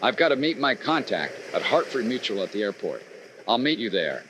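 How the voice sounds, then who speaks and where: Man acting a lively radio insurance investigator. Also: fairly low pitch, fairly quick, noisy background. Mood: brisk, serious. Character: brisk, sharp-eyed male insurance investigator, wry and confident. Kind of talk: old-time radio detective drama acting.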